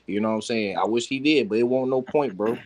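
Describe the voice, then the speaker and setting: A man's voice: mid-range voice, quick pace, a little hiss or hum. A man in a casual, heated sports debate, talking insistently with lots of slang and swearing. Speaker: sports talk panelist.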